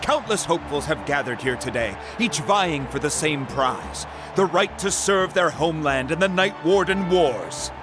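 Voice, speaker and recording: A showy tournament announcer from a video game. The voice is high and swings widely in pitch, projected with theatrical, formal energy and drawn-out endings, over music. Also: male voice, very animated. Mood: grand, formal.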